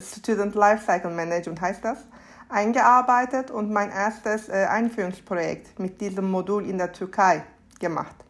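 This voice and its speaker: A woman in her mid-thirties speaks with a Turkish accent as the guest in an interview. Her pitch is average for a woman. She talks conversationally, with giggles slipped in between phrases. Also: normal speed. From Istanbul, Turkey. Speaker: podcast guest, SAP consultant.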